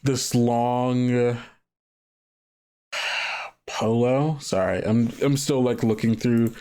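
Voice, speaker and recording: A man's voice: mid-range voice, fairly even intonation, drawn-out words, darkish tone, clear, resonant tone. A man running a tabletop roleplaying game, narrating casually and hesitantly as he thinks aloud and trails off. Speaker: game master.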